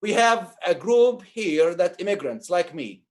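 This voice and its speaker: A man's voice: high voice, animated, unhurried. Earnest Egyptian-accented man preaching. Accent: Egyptian Arabic. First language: Arabic. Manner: earnest, preacherly, repeats phrases and restarts. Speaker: speaker on Islam and social justice. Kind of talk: impassioned religious talk.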